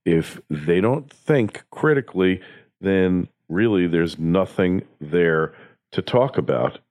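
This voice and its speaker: Deep-voiced American man giving commentary. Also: lively intonation, slow, a little mellow. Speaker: podcast host and political commentator. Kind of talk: opinion commentary, partly scripted monologue.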